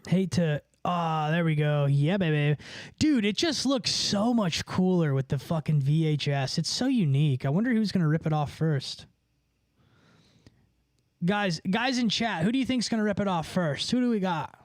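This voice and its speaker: A man's voice: high-pitched, lively intonation, normal speed, dry recording, clean studio sound. A man livestreaming, chatting casually with viewers in a rambling, profane, slangy way. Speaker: livestreamer and musician.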